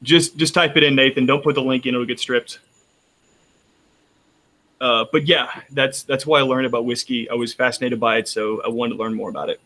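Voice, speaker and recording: A man's voice: medium pitch, steady tone, brisk, bright, forceful tone. Texan man chatting casually. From Texas. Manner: rambling, frequent restarts. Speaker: roundtable panelist. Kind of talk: casual chat.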